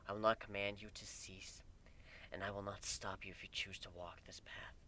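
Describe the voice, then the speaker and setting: A man plays a sorrowful, wise winged princess in a fan fiction story reading. The voice is low and hushed, nearly a whisper. The delivery is slow, grave and breathy. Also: little pitch movement, slight background noise. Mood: grave warning.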